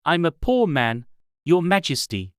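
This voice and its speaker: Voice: medium pitch, very animated, a little slow, clean tone, no room sound, studio quality, voice pushed up. A narrator voicing a miserable, anxious hatter with a trembling manner, in a storybook reading. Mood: pleading. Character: nervous, miserable poor hatter, pleading and flustered.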